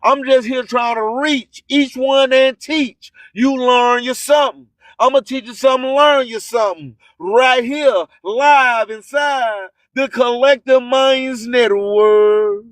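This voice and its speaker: A man with a strikingly high voice hosts a radio show in a dry, close sound. He speaks slowly and emphatically, preaching in streetwise slang and repeating rhymed motivational slogans for weight. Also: no room sound. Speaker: radio host.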